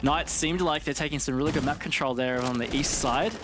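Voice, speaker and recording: A man's voice: on the high side, steady tone, fairly quick. A man giving live commentary and analysis of an esports match.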